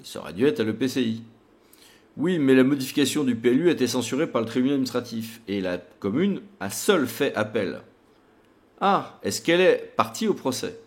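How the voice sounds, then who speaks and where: A man's voice: medium pitch, lively intonation, brisk. A man presenting a legal tutorial in a didactic, emphatic way, like a lawyer explaining case law to an audience.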